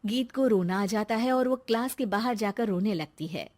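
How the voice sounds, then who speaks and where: A woman's voice: medium pitch, normal speed, darkish tone. A woman narrating a scripted moral story as a storyteller.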